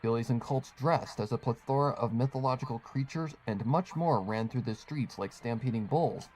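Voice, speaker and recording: A man's voice: mid-range voice, moderate pace, a little mellow, a little hiss or hum, rough recording. A man reading a scripted horror fan fiction story as its narrator.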